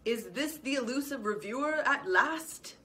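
A woman voicing a large, stern old male badger who runs a hotel, speaking in a gruff, booming way. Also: takes it slowly, fairly bright tone.

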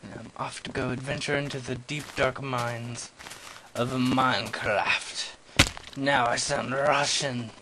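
A young man giving goofy, self-mocking commentary while playing a game, yelling at monsters and talking to himself. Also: medium pitch, fairly even intonation, slow. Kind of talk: let's play commentary. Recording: noisy home recording.

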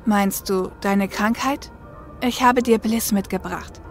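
Woman acting dry sarcastic female mercenary, protective, mid pitch, audio drama, music underneath. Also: takes it slowly, natural voice. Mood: exasperated concern. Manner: wry, blunt. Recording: music bed behind the voice.

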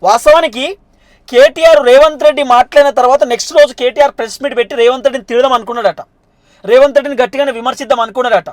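Very high-pitched, fast-talking Telangana man. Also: fairly even intonation, dry recording. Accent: Telangana Telugu. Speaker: news presenter and commentator. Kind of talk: informal political news commentary.

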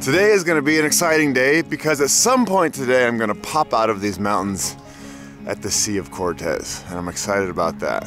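A man's voice: medium pitch, fairly quick, music in the background. Upbeat man vlogging outdoors on a bike. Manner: chatty and upbeat, talks to animals, playful sing-song bits. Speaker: bikepacking vlogger. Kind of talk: casual vlog narration to camera. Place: outdoors on a mountain dirt road. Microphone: action camera on the bike and held at arm's length.

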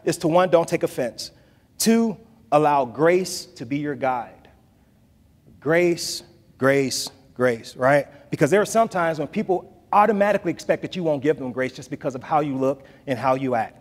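A man in his forties giving a conversational keynote speech from a podium in a large hall, repeating phrases for emphasis. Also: fairly high pitch. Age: 40s. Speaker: keynote speaker. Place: large hall with bookshelves, audience seated. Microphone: podium mic, filmed from the back of the room. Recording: long hall reverb.

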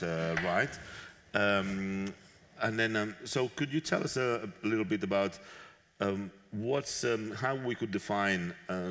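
Deep-voiced man moderating a hall panel. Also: a bit bright. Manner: halting, many filler sounds. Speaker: moderator and event organizer. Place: large conference hall stage. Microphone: headset mic. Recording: long reverb of a large hall.

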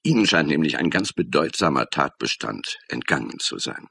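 An actor playing a blustering, sarcastic middle-aged police chief in a German radio crime drama, with a condescending, emphatic delivery. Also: male voice, a bass voice, sing-song, moderate pace, sharp tone, no echo, exaggerated voice. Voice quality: gravelly, husky. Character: blustering, sarcastic senior police chief defending his force.

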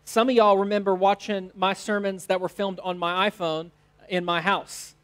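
A man's voice: sits high, little pitch movement, unhurried, fairly bright tone, smooth. American guy in his 30s preaching at church, short phrases left for an interpreter. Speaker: teaching pastor. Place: church stage, indoors.

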